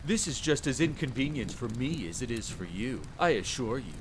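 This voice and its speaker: A man's voice: medium pitch, normal speed, noisy background, lightly acted. A man voicing a suave, coldly amused aristocratic vampire count, musing and cooing with smug mockery in an audio roleplay. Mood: smug menace. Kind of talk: storybook villain in audio roleplay. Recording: steady rain ambience behind.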